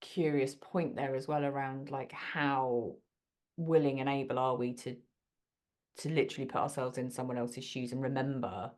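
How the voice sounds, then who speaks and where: A woman's voice: very deep voice, level tone, normal speed, a little mellow. A woman hosting a podcast, talking in a reflective, exploratory way as she works through her thoughts. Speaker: podcast host.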